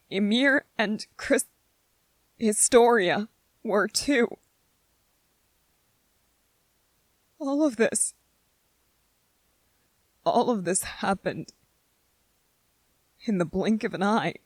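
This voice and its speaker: A woman voicing an anxious, weary young male anime soldier in a halting ASMR roleplay monologue, pausing and repeating words. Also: medium pitch, dry recording. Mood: anguished, anxious.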